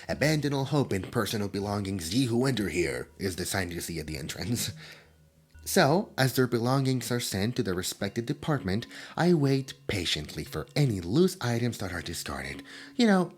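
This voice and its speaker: A chatty immortal demon of time, voiced by a man, rambling self-consciously with ums and little asides. The voice sits low and husky but swings widely in pitch, and now and then it sinks into a soft whisper for this ASMR roleplay. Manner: drops into soft whispers at times.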